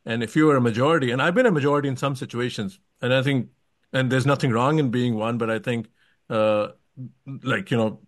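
A man's voice: mid-range voice, fairly quick, no room sound, studio quality. A man hosting a casual podcast interview, digressing and qualifying himself as he works toward his questions. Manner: rambling, digressive, self-qualifying.

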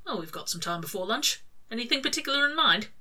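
Male demon played by a woman reader, casual, teasing but soft, clipped questioning delivery, bright tone, audiobook fiction. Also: a little high, big pitch swings, quick pace, pitched above the performer's own voice, full character voice. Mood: curious, teasing.

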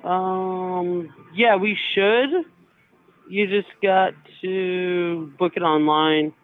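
A man's voice: sits high, steady tone, extremely slow, no echo, faint music behind. A young man on a telephone call, speaking casually and hesitantly as a hotel employee, over a tinny, narrow line. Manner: hesitant, filler-heavy, informal. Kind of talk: casual phone conversation.

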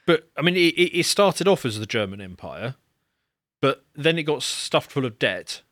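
A man's voice: on the high side, very animated, normal speed, a bit bright, dry recording. Man in casual podcast talk, dry mic. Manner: conversational, stumbles and repeats words when starting a point. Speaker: podcast co-host.

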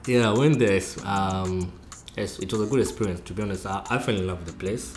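A man's voice: sits low, a little fast. A young man from Kenya with a Nairobi accent, chatting casually and laughing often. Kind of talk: casual chat. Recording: echoey room with faint noise.

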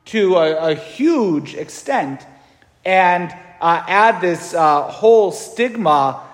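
Chatty priest in echoing church. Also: male voice, a little high, a bit bright. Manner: casual, chatty, many fillers and tag questions. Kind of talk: conversational homily. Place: large echoey church. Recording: echoey church with long reverb.

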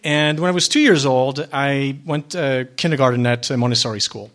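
A man's voice: medium pitch, normal speed, a bit bright. A man from Switzerland giving a casual personal talk to an audience, with loose storytelling and fillers. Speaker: filmmaker and speaker.

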